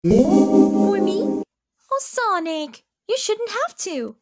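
Lady doing a sweet bubbly girl hedgehog, high and bouncy, cartoon dub, cheerful. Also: sing-song, drawn-out words, a little mellow, dry recording, studio quality, faint music behind, exaggerated voice. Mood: delighted. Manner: cheerful, affectionate. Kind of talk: comic dub voice acting.

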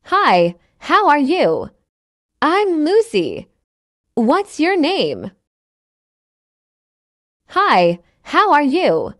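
A woman's voice: high-pitched, huge pitch swings, drawn-out words, pauses often, fairly bright tone, no room sound, clean studio sound. A woman reading a simple English dialogue for learners, carefully enunciated and repeating each line. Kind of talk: slow scripted dialogue reading.

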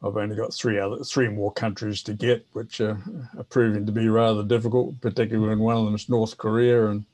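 A man in his seventies with a New Zealand accent, chatting and explaining casually in a halting way with repeated words. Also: fairly low pitch, little pitch movement, darkish tone. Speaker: guest, veteran amateur radio operator. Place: home office, indoors.

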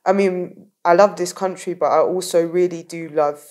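A young woman with a Southern English accent talks easily on a podcast, her voice sitting a little low. She fills her sentences with little fillers and keeps breaking into laughter. The talk stays loose and friendly. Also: fairly even intonation, normal speed, darkish tone. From England. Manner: laughs often, chatty with many fillers. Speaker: DJ guest. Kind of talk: casual podcast chat.

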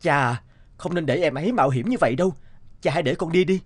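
A man's voice: fairly high pitch, fast talker, close to an everyday voice. A man voicing an eager, respectful and brave young village son in a cartoon. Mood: protective. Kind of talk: Vietnamese cartoon dubbing.

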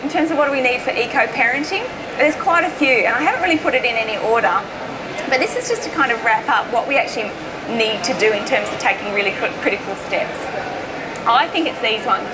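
An Australian woman speaks in a somewhat high voice, giving an informal public talk. Her delivery is conversational and emphatic, stressing key words. The recording has loud background noise running behind her. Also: lively intonation, fast. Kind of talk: informal seminar presentation. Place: indoor presentation stage at an expo.

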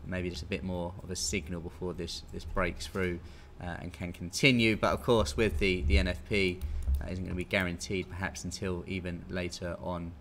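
A man's voice: deep voice, little pitch movement, normal speed, hardly any pauses, fairly bright tone. A British man in his thirties giving an informal, conversational live market briefing as a technical analyst. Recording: clear background noise.

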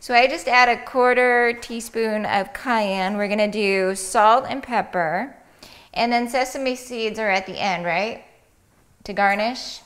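A woman's voice: on the high side, unhurried, a bit bright. A woman in her thirties co-hosting a cooking show, chatting playfully and casually in a home kitchen.

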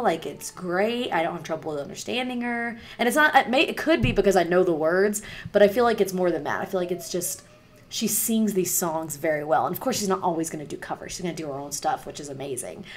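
Raspy-voiced woman chatting on webcam. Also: on the low side, lively intonation, brisk. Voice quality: raspy voice from singer's nodules. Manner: chatty and rambling, many fillers. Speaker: music reaction vlogger. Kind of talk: casual chat to camera. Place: small home room with posters. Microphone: webcam, wearing headphones.

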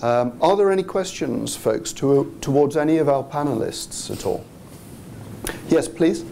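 Mellow gravelly-voiced male moderator. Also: on the high side, animated, measured pace, a little hiss or hum. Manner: polite, brief interjections. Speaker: panel moderator. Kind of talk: moderating a conference panel.

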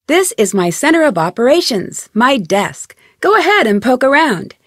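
A woman's voice: high-pitched, big pitch swings, normal speed, a bit bright, no echo, clean studio sound, full character voice. A woman playing a curious, friendly young amateur detective in a video game, with upbeat, conversational scripted delivery. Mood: friendly, instructive. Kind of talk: scripted video game dialogue and tutorial narration.

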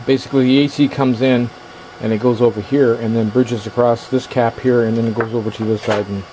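Male repair vlogger, casual and halting, thinking aloud; noisy handheld camera sound in a small workshop. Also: medium pitch, little pitch movement, warm tone. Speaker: electronics repair hobbyist hosting a video. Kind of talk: casual hands-on repair commentary. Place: small indoor workshop at a workbench. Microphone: handheld camera's built-in microphone.

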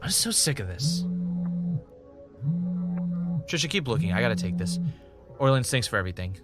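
An actor playing a jaded former reality show winner turned podcaster, blunt and world-weary, in an audio drama. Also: male voice, medium pitch, big pitch swings, moderate pace, over loud music, close to an everyday voice. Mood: exasperated. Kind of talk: audio drama dialogue and confessional narration.

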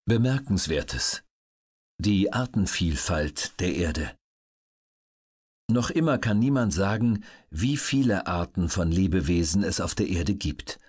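A man reading scripted educational narration in a measured, matter-of-fact manner. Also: low-pitched, expressive, a little mellow, slightly rough. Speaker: audiobook narrator.

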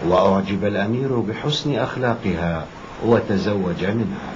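An actor as a calm storyteller, giving scripted narration for a cartoon. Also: male voice, on the low side, moderate pace, muffled recording, background noise, lightly acted. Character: calm adult male storyteller.

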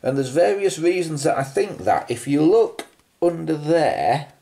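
A man's voice: on the high side, expressive, measured pace, creaky, mellow. A British man giving an unscripted hands-on show-and-tell, musing as he goes and posing rhetorical questions. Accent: British English. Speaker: presenter of a vintage telephone video.

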